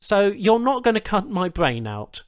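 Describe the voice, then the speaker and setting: A man's voice: high-pitched, sing-song, unhurried, no echo, studio quality, pitched above the performer's own voice. A man playing an anxious, indignant human captive in a science fiction audiobook, protesting and questioning his captors with wry disbelief. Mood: incredulous. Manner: protesting, questioning, wryly incredulous.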